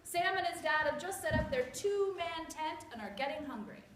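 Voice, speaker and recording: A woman reading scripted story narration aloud in an expressive, theatrical way. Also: high voice, normal speed. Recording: echoey room with clear background noise.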